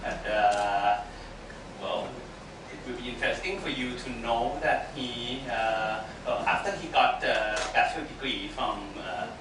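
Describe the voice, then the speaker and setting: A man giving a hesitant formal introduction of a guest speaker, halting and full of fillers. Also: mid-range voice, distant mic. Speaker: faculty host introducing a speaker. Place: echoey lecture room. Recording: echoey hall, picked up from afar, noisy.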